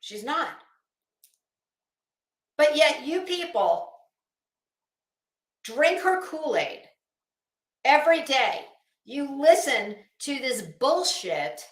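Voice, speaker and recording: A woman's voice: sits high, sing-song, very slow, long pauses. A woman livestream host on a casual rant to her chat, blunt and coarse, with an emphatic, sarcastic, challenging delivery. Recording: echoey room.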